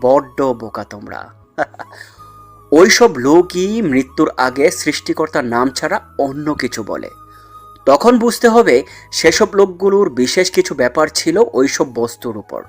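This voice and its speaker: A man's voice: fairly high pitch, very animated, measured pace, soft background music, heavily acted. A man playing a cunning, commanding mafia mastermind in an audio drama, explaining things in a smug, condescending way. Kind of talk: dramatised audio story acting.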